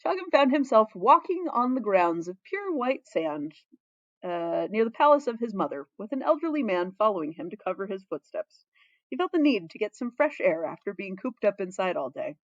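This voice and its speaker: A woman narrating a story in an expressive, playful, informal way, like an amateur reading fan fiction. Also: mid-range voice, normal speed, no echo, highs cut off. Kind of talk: storybook narration with casual asides.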